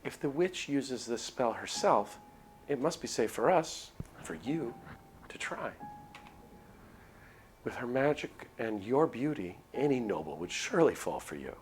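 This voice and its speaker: Man putting on an earnest, pleading young woman, pitched up. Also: big pitch swings, a little slow, slight background noise. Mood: pleading, urgent. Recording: music under the voice.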